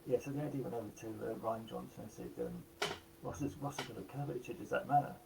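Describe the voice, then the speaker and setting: Croaky man with gravelly fry. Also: medium pitch, sing-song, noisy background. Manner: halting, many restarts. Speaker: guest actor. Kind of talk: casual live chat.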